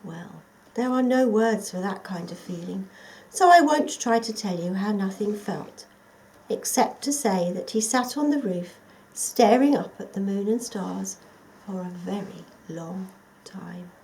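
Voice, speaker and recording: A woman reading a children's story aloud as a scripted narration, in an expressive, dramatic storytelling manner. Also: medium pitch, unhurried, rough recording. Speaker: storybook reader.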